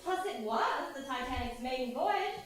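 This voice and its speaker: Actress as accusing woman, high voice, sarcastic, theatrical, stage play, distant mic, heavy echo. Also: expressive, normal speed. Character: sarcastic, accusing female interrogator spirit. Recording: very echoey stage, distant microphone.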